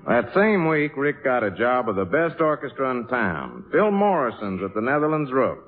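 A man's voice: medium pitch, sing-song, moderate pace, phone-quality sound, slight background noise, natural voice. An actor playing an easygoing musician who narrates the story of his friend in a 1950s radio drama. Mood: reminiscing.

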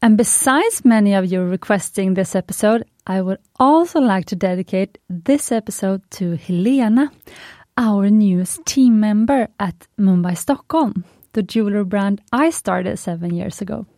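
A woman's voice: mid-range voice, animated, normal speed, mellow, no room sound, studio quality. Chatty Swedish-native woman podcast host. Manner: chatty, enthusiastic, fond of puns. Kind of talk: scripted podcast monologue.

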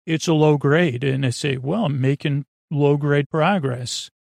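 A man hosting a sleep podcast in a rambling, halting, self-deprecating bedtime monologue full of tangents, with a creaky voice close to the mic. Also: a little mellow, no room sound. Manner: self-deprecating, low-key.

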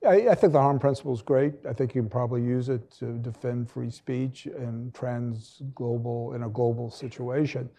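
A man's voice: mid-range voice, fairly even intonation, moderate pace, hardly any pauses, warm tone. A man on a panel making a professorial point about a philosopher, then putting a pointed question to the room. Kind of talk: academic panel remark.

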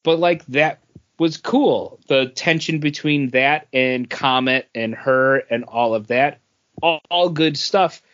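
A man's voice: medium pitch, takes it slowly, fairly bright tone. A man talking casually and bluntly as a podcast panelist giving a review. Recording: dull, lo-fi audio.

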